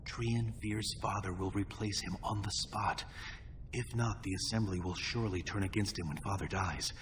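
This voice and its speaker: Man acting a very deep-voiced scheming prince. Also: steady tone, a bit bright, a little hiss or hum, lightly acted. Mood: conspiratorial. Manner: measured, conspiratorial, insinuating. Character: scheming dwarf prince, younger brother, smoothly persuasive. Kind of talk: fantasy game cutscene acting. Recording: clear background music.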